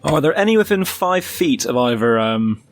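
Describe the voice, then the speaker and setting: A man's voice: mid-range voice, big pitch swings, normal speed. A man speaking casually as a player in a tabletop role-playing game. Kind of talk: casual game play talk. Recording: background music under the voice.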